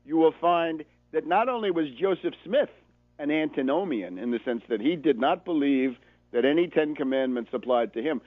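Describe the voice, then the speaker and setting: A man's voice: a little high, dry recording. An American man hosting a political radio show, delivering emphatic, sardonic commentary as a monologue with rhetorical repetition. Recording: narrow phone-like sound, dry.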